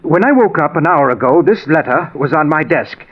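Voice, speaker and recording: An actor playing a distressed man who fears for his sanity, speaking haltingly in 1940s radio style. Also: on the high side, expressive, highs cut off. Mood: bewildered, troubled. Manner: halting, bewildered. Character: distressed man Martin, fearing his mind is failing. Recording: old radio drama recording, slightly husky sound.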